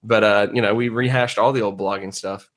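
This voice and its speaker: A man's voice: lowish voice, fairly even intonation, fairly quick, fairly bright tone. A man hosting a podcast in a casual, joking, conversational style. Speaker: podcast host.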